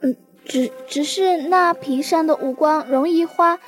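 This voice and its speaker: A woman's voice: high voice, measured pace. A woman playing a timid young servant in a period audio drama, hesitant and stammering. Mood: timid, nervous. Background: faint music.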